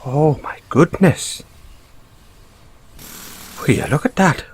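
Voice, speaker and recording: A man with an Irish accent voicing a sly, playfully menacing bunny mechanic who is a mafia boss, in an intimate, teasing, unhurried audio roleplay style. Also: mid-range voice, a little mellow, breathy edge, heavily acted. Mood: feigned amazement. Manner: teasing, unhurried, coaxing with tag questions.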